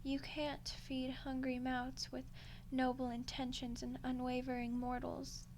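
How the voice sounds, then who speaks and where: A woman playing a smug, condescending female archdemon in a soft-spoken ASMR roleplay, unhurried and menacingly polite. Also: sits high, completely flat delivery, slight background noise. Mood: smug, dismissive. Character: ancient female archdemon, smug, coolly seductive deal-maker.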